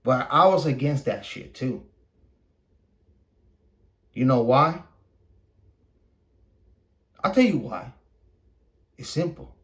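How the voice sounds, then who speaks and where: A man who is a native Spanish speaker hosting a podcast, delivering an opinionated casual monologue in a blunt, profane, repetitive and halting manner. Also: mid-range voice, lively intonation. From Puerto Rico. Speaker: podcast host.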